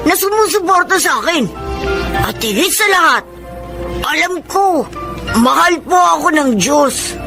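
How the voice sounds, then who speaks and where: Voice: very high-pitched, expressive, a little slow, creaky, pauses often, full character voice. A performer playing an earnest, respectful young boxer boy with a Filipino accent in a radio drama, speaking politely. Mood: earnest, hopeful. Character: Ronan, earnest respectful boy who boxes. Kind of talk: Filipino radio drama acting and first-person narration. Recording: music under the voice.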